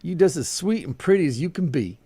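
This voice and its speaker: A man's voice: a little high, animated, fairly quick, warm tone, husky, no echo, pitched above the performer's own voice. A man voicing an elderly Southern farmhand woman from a short story, fawning and indignant, exclaiming in an American South accent. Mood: fawning flattery. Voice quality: exclaiming, emphatic.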